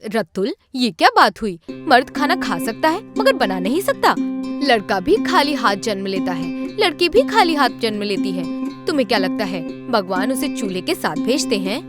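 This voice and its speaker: Woman playing a firm, lively mother-in-law. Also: a little high, normal speed, over loud music. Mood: scolding. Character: firm, protective middle-aged mother-in-law, proud of bride.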